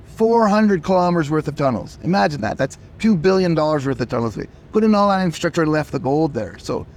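A man's voice: fairly high pitch, lively intonation, fast, a little mellow. Emphatic man losing his voice. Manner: emphatic, repeats words when starting. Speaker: company chief executive. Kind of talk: interview answers, pitching.

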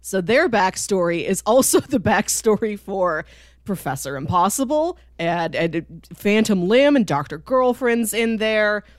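A woman's voice: mid-range voice, lively intonation, a little slow, dry recording, studio quality. A woman hosting a podcast, talking casually and explaining with enthusiasm about a series she enjoys. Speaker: podcast host.

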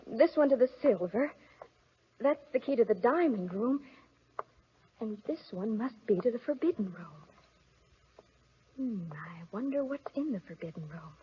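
High-voiced innocent young bride. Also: female voice, lively intonation, unhurried, long pauses. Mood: curious, nervous. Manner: earnest, theatrical. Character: sweet, obedient, curious young fairy-tale bride. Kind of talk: 1940s radio acting. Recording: muffled, noisy old radio recording.

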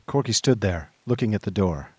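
A man playing a genial young gentleman narrator in a radio drama, telling his story in old-fashioned conversational style. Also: lowish voice, animated, normal speed, breathy edge, no echo. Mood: wry recollection. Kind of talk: scripted first-person radio drama narration.

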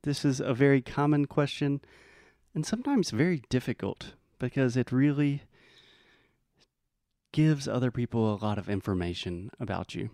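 An American man teaching English in a bilingual lesson, explaining patiently and modeling phrases slowly. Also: medium pitch, animated, a little mellow, no echo, clean studio sound. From United States.

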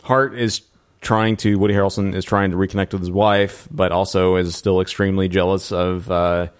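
A man hosting a podcast, recapping in a casual, halting way as he talks. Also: low voice, little pitch movement, clean tone. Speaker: podcast host recapping TV episodes.

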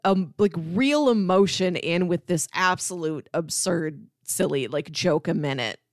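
A woman's voice: on the low side, measured pace, no echo, clean studio sound. A woman chatting casually as a podcast co-host, explaining things in an articulate, enthusiastic way. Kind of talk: casual chat about sitcoms.

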